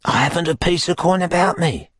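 A man's voice: fairly high pitch, brisk, fairly bright tone, breathy edge, no room sound, studio quality, pitched above the performer's own voice. A man voicing a wily old gang boss in an audiobook, submissive, ingratiating and pleading. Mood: protesting. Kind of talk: audiobook character acting.

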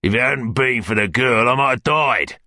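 A man's voice: mid-range voice, normal speed, sharp tone, no echo, studio quality, pitched above the performer's own voice. A man voicing a brutish, bitter London criminal in an audiobook, growling and surly with a Cockney accent. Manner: surly, demanding. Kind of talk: audiobook character acting.

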